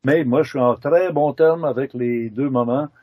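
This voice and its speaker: A man's voice: mid-range voice, moderate pace, no echo. A middle-aged male host, interviewing his guest in a chatty way with leading questions and friendly asides. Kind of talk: casual interview. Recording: dull lo-fi dry studio audio.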